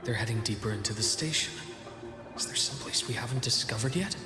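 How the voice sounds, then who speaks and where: Actor as a composed clinical game hero, music behind. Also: male voice, mid-range voice, lightly acted. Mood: focused. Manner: measured, formal, clipped commands. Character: composed, clinical male doctor fighter, calm and resolute. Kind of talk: video game voice acting.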